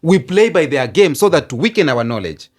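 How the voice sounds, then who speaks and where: A man arguing his point in a casual debate chat, insistent and animated. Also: a little high, fairly quick. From Kenya. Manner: insistent, repeats "let me tell you", animated.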